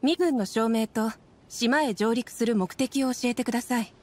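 A woman's voice: a little high, normal speed, a little hiss or hum, close to an everyday voice. An actress voicing a polite, businesslike woman checkpoint inspector in an anime game, speaking formally and courteously. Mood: polite, inquiring. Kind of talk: anime video game voice acting.

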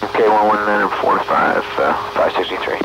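A man's voice: fairly low pitch, fairly quick, vocal fry. A man speaking as an airline pilot, giving informal, hesitant readbacks to ground control over aviation radio. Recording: muffled, noisy cockpit radio transmission.

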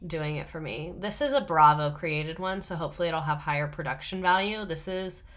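A woman's voice: sits low, a little fast, fairly bright tone. A woman chatting casually and rambling with lots of asides while she does crafts. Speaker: vlogger. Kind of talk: casual chat while crafting and watching TV clips.

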